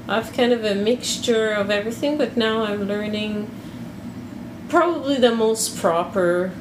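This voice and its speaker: Hesitant woman, chatting casually, unhurried, roomy recording. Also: on the high side. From Quebec. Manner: hesitant, many restarts and fillers. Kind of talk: casual chat. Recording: echoey room with background noise.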